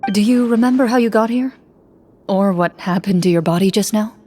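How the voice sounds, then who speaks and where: An actress playing a composed female champion duelist in a game, speaking calmly and asking measured questions. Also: medium pitch, slight background noise, natural voice. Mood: probing. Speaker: game character. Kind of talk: video game dialogue acting.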